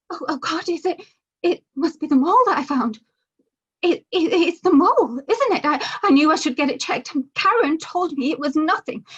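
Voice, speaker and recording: A high-pitched, flustered middle-aged woman played by a woman reader in a script drama. She stammers, gasps and rambles nervously. The sound is a little muffled and lo-fi. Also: a bit bright. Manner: stammering, gasping, breathless rambling. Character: panicking, chatty middle-aged woman terrified of Death.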